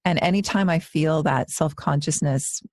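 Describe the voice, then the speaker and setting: A woman's voice: low voice, normal speed, no room sound. Earnest woman in her forties, dry sound. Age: 40s. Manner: earnest, reflective, with frequent restarts. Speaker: yoga teacher and podcast co-host. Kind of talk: casual podcast conversation.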